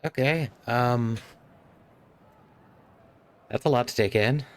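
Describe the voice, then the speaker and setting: A man's voice: mid-range voice, slow pace. A man giving casual, wry live commentary as he streams a game.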